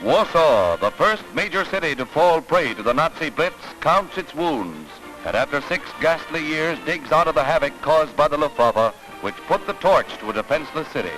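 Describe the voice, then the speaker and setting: A man's voice: a little high, sing-song, normal speed, hardly any pauses, fairly bright tone, poor audio. An American man narrating a 1940s newsreel in a declamatory, dramatic announcer style, on a vintage soundtrack. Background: orchestral newsreel music.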